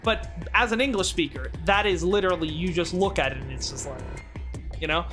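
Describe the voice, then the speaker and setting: A man's voice: high voice, fairly quick, over music. A man hosting a let's play, talking casually with frequent swearing, stammering restarts and laughter. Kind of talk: casual gameplay commentary.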